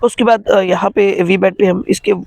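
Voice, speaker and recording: High-voiced man with a creaky edge, teaching repairs. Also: fairly even intonation, darkish tone, no echo. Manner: informal, halting, constantly checking in with listeners. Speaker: phone repair tutorial host. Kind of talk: step-by-step hands-on repair tutorial.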